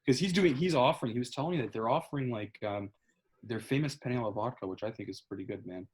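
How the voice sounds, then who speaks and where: A young man, co-hosting a casual podcast chat, swearing often and excitably talking over his co-host. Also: medium pitch, quick pace.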